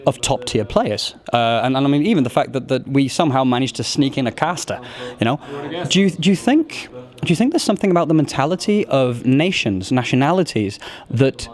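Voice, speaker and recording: A man's voice: mid-range voice, very animated, fairly quick. Man asking curious interview questions.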